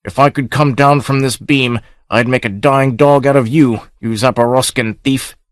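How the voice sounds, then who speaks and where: A man voicing a wounded barbarian warrior who rasps and pants out defiant words. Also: medium pitch, steady tone, normal speed, no echo, studio quality, natural voice. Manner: rasping, panting.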